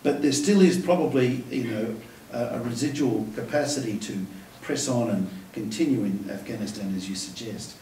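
A man's voice: mid-range voice, normal speed, few pauses, mellow, slight background noise. A middle-aged man moderating a panel, speaking thoughtfully with frequent restarts and fillers. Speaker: moderator, academic host. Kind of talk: panel conversation. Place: lecture room with a seated audience. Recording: roomy lecture hall, mic at a distance.

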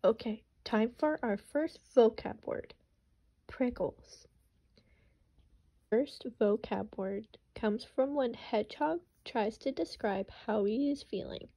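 A woman's voice: on the high side, dark tone. A young woman reading a children's picture book aloud and explaining vocabulary words. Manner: slow and explanatory. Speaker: student teacher.

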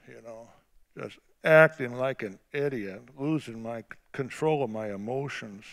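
A middle-aged male pastor with a big voice, preaching a sermon that swings from quiet, conversational asides to shouted, drawn-out emphasis. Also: medium pitch. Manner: drawn-out emphatic phrasing on key words.

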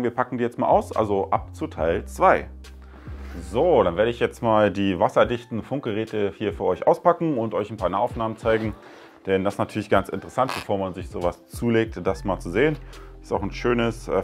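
A man in his thirties giving a casual, chatty product review at home, explaining step by step with a few fillers. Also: on the low side. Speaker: tech reviewer. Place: living room indoors.

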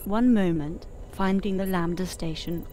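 A woman voicing a calm, obliging spaceship computer assistant in a measured, formal way. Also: lowish voice, soft background music, close to an everyday voice. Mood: calm, procedural. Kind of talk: scripted game voice assistant prompts.

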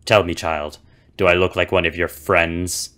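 A man playing a gruff, sarcastic, dismissive character in an animated film. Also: low voice, moderate pace. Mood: irritated, dismissive. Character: gruff, irritable, sarcastic man brushing off a child.

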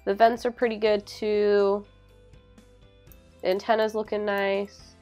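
A young adult woman narrating a software tutorial in a casual, informal way, often saying "like". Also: medium pitch, little pitch movement, slow pace, muffled recording. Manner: informal, hedging with frequent "like". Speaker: tutorial presenter. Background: background music.

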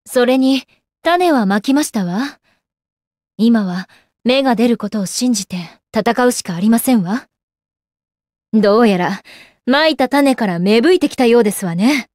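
A woman's voice: on the high side, expressive, a little slow, long pauses, darkish tone, no echo, clean studio sound. An actress playing a proud, composed young goddess warrior in a game, with refined, ladylike and formal speech. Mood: composed, confident. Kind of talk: Japanese anime game character acting. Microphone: close dry studio microphone.

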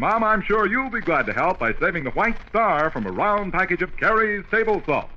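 A man announcing in emphatic 1940s radio sponsor style, like a salesman pitching. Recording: dull vintage 1940s radio recording.

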